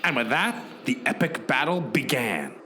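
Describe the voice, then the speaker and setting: A man's voice: mid-range voice, very animated, normal speed, sharp tone, slight background noise. A man narrating a mock-epic comic radio play in a theatrical, melodramatic way. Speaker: podcast host and narrator.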